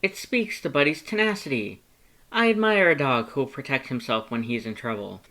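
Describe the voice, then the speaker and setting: A man's voice: mid-range voice, lively intonation, moderate pace, pushed and bright, pitched above the performer's own voice. A man voicing a proud, earnest cartoon skeleton in a story, with a bombastic, formal and emphatic way of talking. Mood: earnest, approving.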